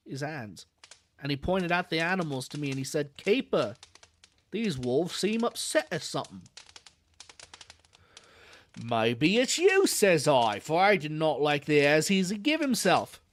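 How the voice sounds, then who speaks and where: A man reading aloud and voicing a gruff old male zookeeper, grumbling in a rough dialect with dropped h's. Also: fairly high pitch, big pitch swings, unhurried, a bit bright.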